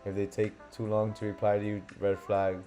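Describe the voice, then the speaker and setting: A young man from Miami with a lowish, soft and mellow voice, hosting a laid-back live stream. He thinks out loud in a loose, rambling way, full of "you know" and "um". Faint lo-fi music plays quietly behind him. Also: completely flat delivery, a little fast. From Miami, USA. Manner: loose, thinking aloud, heavy fillers. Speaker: livestream host, forex trader. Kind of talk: casual live-stream commentary. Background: faint lo-fi background music.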